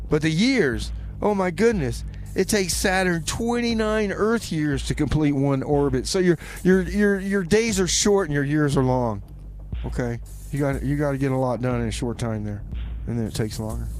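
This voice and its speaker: Chatty male radio host with faint noise. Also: medium pitch. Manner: chatty, folksy, repeats phrases. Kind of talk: casual music radio hosting with comedy banter. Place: radio studio.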